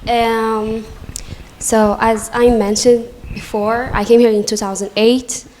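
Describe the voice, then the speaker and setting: A young woman student, Hebrew her first language, answering on a conference panel, halting with many fillers and restarts. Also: a little high, level tone, a little mellow, a little hiss or hum, poor audio. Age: young adult. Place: conference hall.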